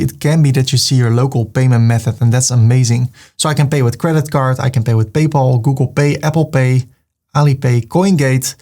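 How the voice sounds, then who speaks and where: A man's voice: medium pitch, a little mellow. A man with a Dutch accent, hosting a screen-recorded tutorial and talking through each click in an upbeat way. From Netherlands. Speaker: web tutorial host. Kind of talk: screen-recorded software walkthrough.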